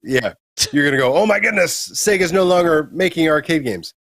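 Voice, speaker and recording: A man's voice: a little high, expressive, brisk, a bit bright, no echo. American man hosting gaming news, dry close sound. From United States. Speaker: co-host of a gaming news show. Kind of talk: casual news discussion.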